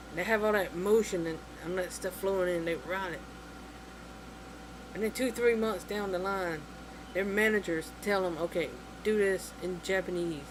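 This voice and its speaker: Exasperated rambling vlogger over AC hum. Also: mid-range voice. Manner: rambling, exasperated, heavy on "you know" and "like". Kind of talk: casual opinion rant to camera.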